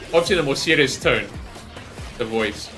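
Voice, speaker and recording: A man's voice: medium pitch, animated, moderate pace, sharp tone. Rambling casual man reacting over background music. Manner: rambling, thinks aloud, often tags sentences with "right?". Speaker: gaming reaction video host. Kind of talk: casual, off-the-cuff reaction commentary.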